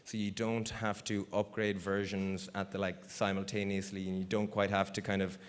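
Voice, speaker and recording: Low-voiced man giving a loose, casual conference talk. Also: monotone, moderate pace. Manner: casual, loose, full of fillers like "you know" and "like". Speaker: conference speaker. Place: large conference hall stage.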